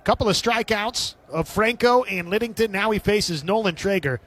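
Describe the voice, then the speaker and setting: High-pitched male baseball play-by-play announcer. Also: normal speed, fairly bright tone. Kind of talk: live radio sports commentary.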